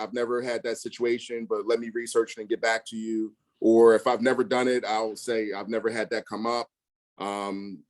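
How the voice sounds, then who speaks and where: Blunt, slangy man telling stories casually. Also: mid-range voice. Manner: blunt, slangy, emphatic, retells conversations in quotes. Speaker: real estate investor. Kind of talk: casual conversational storytelling.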